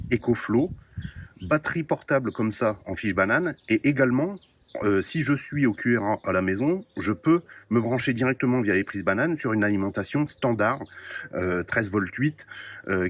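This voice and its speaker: A man showing his radio kit to the camera in a casual, explanatory way, often pausing with hesitant fillers. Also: lowish voice, breathy edge. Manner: explanatory, many "euh" pauses. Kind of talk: casual gear show-and-tell.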